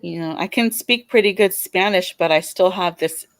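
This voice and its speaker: A woman's voice: lowish voice, normal speed, dry recording. A woman with a Californian accent, calling in to a live debate show, talking hesitantly with many restarts and fillers.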